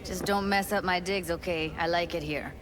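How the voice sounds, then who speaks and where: Low-voiced determined young techie woman, acted. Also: steady tone, moderate pace, noisy background, lightly acted. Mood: earnest. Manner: informal, clipped street talk. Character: determined, plain-spoken young techie woman rallying a crew. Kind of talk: video game voice acting.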